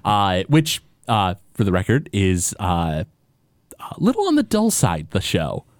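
Playful, animated male podcast co-host. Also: low-pitched, unhurried, dry recording, clean studio sound. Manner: playful teasing, lots of fillers and restarts. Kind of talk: casual chat.

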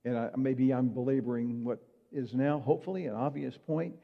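A man's voice: medium pitch, fairly even intonation, fairly quick, vocal fry. Preaching man in a muffled echoing hall. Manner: conversational preaching with rhetorical questions. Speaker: pastor. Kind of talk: sermon.

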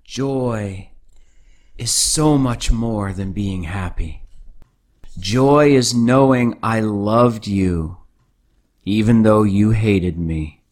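A man playing God as a gentle, loving deity in a devotional audio drama, speaking with calm, soothing assurance. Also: fairly low pitch, very slow. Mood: tender. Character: gentle, loving male God, patient and tender.

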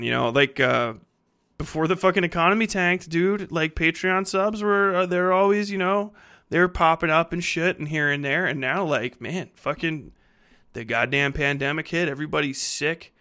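Bright-voiced man rambling casually. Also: fairly high pitch, normal speed. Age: 30s. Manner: rambling, heavy casual swearing; blunt, slangy, informal. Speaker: podcast host and fiction writer. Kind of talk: casual unscripted podcast monologue.

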